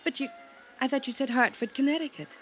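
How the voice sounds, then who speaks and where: A woman's voice: high voice, quick pace, close to an everyday voice. An actress playing a grieving, grateful young woman in a 1950s radio drama, tender and emotional. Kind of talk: 1950s American radio melodrama acting. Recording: 1950s radio broadcast with music.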